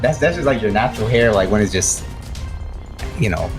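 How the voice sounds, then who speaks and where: A man's voice: on the low side, expressive, fairly quick, over music. A man hosting a casual podcast interview, talking in a hesitant way full of fillers. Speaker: podcast host.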